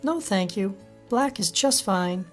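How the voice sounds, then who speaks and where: An upbeat young woman, friendly and hopeful, pitched well above the reader's own voice, as a male narrator puts her on. Her lines rise and fall a lot, and soft music plays faintly behind her. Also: expressive, slow pace. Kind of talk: audiobook character voice.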